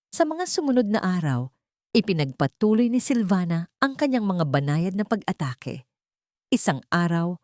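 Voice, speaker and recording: A woman's voice: lowish voice, a little mellow, no echo, clean studio sound. A woman reading a scripted dramatic story as its narrator.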